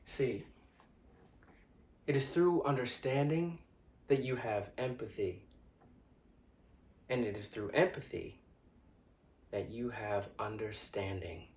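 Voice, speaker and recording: Young man played by a male actor, mid-pitched, earnest and insistent monologue delivery, deliberate pauses, roomy echo. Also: darkish tone, close to an everyday voice. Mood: calm, earnest. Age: young adult. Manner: deliberate, hesitant pauses and repeated words, building to insistence. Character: earnest, indignant young man confronting strangers he overheard. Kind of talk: dramatic monologue, conversational direct address. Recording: echoey room.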